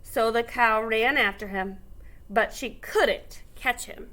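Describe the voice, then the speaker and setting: High, bright woman reading a children's story. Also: slow pace. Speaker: storybook reader. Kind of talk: children's read-aloud narration with asides.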